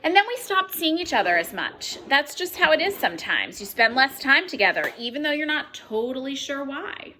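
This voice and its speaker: A woman reading a children's picture book aloud expressively, acting out the exclamations in an animated manner. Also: sits high, moderate pace, few pauses. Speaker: read-aloud storyteller. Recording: noticeable background noise.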